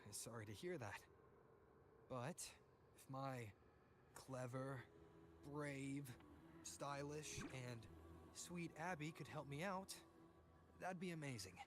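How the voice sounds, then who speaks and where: A man playing a kind, focused young hero in a video game, with an earnest, measured delivery. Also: mid-range voice, a little hiss or hum, close to an everyday voice. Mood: gentle, coaxing. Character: young male hero, gentle, focused, kind to his companion. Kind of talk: video game character acting.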